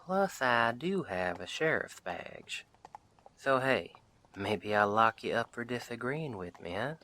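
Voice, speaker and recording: A man playing a friendly wandering Western sheriff in an audio roleplay, chatting to the listener in a folksy, wry, unhurried way. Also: mid-range voice, expressive, bright, forceful tone, slight background noise. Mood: wry, chatty. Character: friendly wandering Western sheriff, easygoing drifter, dryly humorous.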